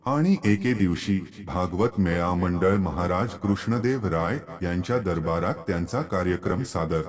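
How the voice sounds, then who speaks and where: A man's voice: sits low, darkish tone. A man reading a scripted biographical narration as a storyteller.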